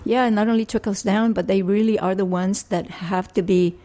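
An older woman from Rico, a family physician answering interview questions in a measured, thoughtful way. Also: medium pitch, steady tone, warm tone. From Puerto Rico. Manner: measured, thoughtful, with frequent restarts.

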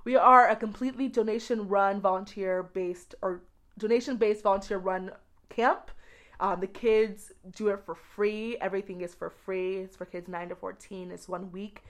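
A woman from the United States giving conversational interview answers as a guest, expressive and repeating words for emphasis. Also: medium pitch, normal speed. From grew up in the United States. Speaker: podcast guest, education researcher.

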